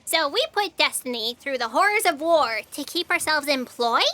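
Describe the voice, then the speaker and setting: A woman's voice: high-pitched, lively intonation, moderate pace, bright, forceful tone, no echo. A woman playing an earnest, idealistic female fact checker who questions everything in a scripted comedy audio drama. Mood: earnest protest.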